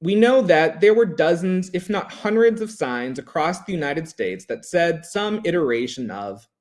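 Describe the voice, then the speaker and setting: A man with a slightly high voice delivers a prepared history lecture. His intonation swings widely as he stresses key words. The phrasing is formal and measured, in the manner of an educational presenter. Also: expressive, a little mellow.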